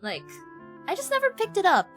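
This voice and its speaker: A woman's voice: very high voice, huge pitch swings, brisk, music in the background. A woman from Ireland with a neutral, North American-sounding accent, chatting casually and slangily on a rambling, giggly game stream. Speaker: live streamer. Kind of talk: casual gaming stream chat.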